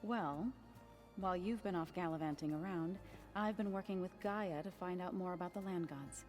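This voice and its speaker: An actress playing a wise, composed mentor in a video game, with a wry, gently firm manner. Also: female voice, fairly low pitch, moderate pace, soft background music, natural voice. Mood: wryly firm. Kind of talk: video game cutscene acting.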